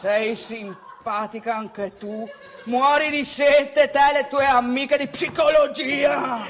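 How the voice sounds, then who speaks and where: A woman's voice: high-pitched, steady tone, slow pace. A woman performing a comic stage monologue as a brash, grumbling provincial man with a northeastern Italian accent, colloquial, boastful and repetitive. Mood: cocky, rebuffed. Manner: colloquial, boastful, repeats phrases, addresses listeners directly. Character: brash, grumbling provincial young man chasing women in bars.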